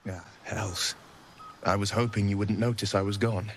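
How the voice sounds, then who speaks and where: A man's voice: on the low side, steady tone, moderate pace, a little hiss or hum, lightly acted. A man playing a gallant, modest adventurer of noble upbringing in a fantasy game, speaking in a courtly, earnest and slightly bashful way. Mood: downcast, earnest. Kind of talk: cinematic fantasy game acting.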